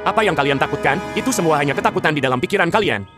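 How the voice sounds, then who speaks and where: A man's voice: on the high side, animated, very fast, fairly bright tone, faint music behind. A man voicing an arrogant, greedy king who boasts and barks commands in a dubbed cartoon. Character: arrogant greedy middle-aged male king.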